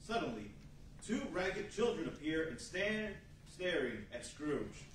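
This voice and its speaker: A man's voice: on the high side, big pitch swings, a little slow. A man narrating a scripted story for a radio play. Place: large meeting hall before an audience. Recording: very echoey distant hall, faint noise.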